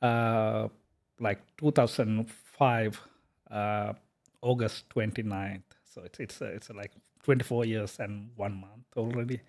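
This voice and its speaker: A man, a software company founder talking casually in an interview, hesitant with many fillers and restarts. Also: on the low side, fairly even intonation, measured pace. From Sri Lanka. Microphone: podcast microphone.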